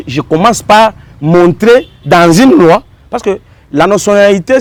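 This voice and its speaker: High-pitched, animated Congolese man arguing insistently. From Democratic Republic of the Congo. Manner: insistent, emphatic, repeats phrases and poses rhetorical questions. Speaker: interview guest. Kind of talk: political and legal argument in a TV interview.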